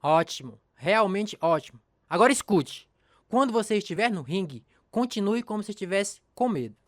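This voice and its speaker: An actor playing a scheming, encouraging boxing trainer in a radio drama. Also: male voice, high-pitched, very animated, moderate pace. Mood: curious, conspiratorial. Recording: loud crowd and noise behind.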